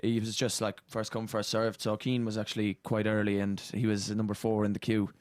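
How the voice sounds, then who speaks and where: A young Irish man with a fairly low voice and a flat, even intonation. He talks casually as a band member being interviewed, in a very dry studio sound. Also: fairly quick, no room sound. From Ireland. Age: early 20s. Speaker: boy band singer, interview guest. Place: radio studio. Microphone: studio microphone.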